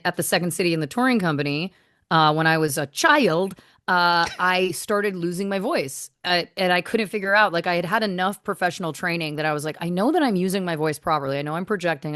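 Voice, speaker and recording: Woman guest chatting away, animated and jokey, slightly low voice, dry close sound. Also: no room sound. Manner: chatty, animated storyteller with quick asides. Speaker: musician guest. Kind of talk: casual interview chat.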